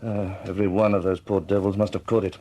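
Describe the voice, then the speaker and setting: A man's voice: low-pitched, little pitch movement, fast, dark tone, slight background noise. A man acting in a radio drama as a reflective, deferential British air force officer, speaking with restraint and some hesitation in a British accent. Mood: sober, reflective.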